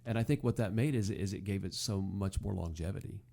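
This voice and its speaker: A man with a deep voice and a soft, relaxed tone hosts a casual discussion. He rambles along with enthusiasm, like a fan chatting about old television. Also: fast, creaky. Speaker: podcast host. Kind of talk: casual chat, commentary on classic TV comedy.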